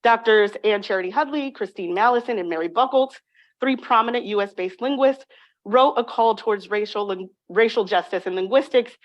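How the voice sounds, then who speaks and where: A woman's voice: medium pitch, a little fast, muffled recording. Woman giving a formal academic lecture.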